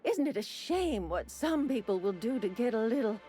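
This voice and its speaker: A sweet-talking older woman behind a pill counter, all teasing charm and quiet scheming. Her voice sits high and swoops through a lively lilt. Faint music hums under the game audio. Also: normal speed. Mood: apologetic, sly. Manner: syrupy, teasing, calls everyone dearie. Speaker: dispensary clerk. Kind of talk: video game voice acting.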